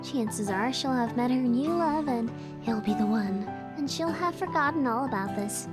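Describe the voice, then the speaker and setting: A woman's voice: a little high, expressive, moderate pace, over loud music, natural voice. A woman playing a sardonic, levelheaded young woman in an anime visual novel. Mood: wry, casual.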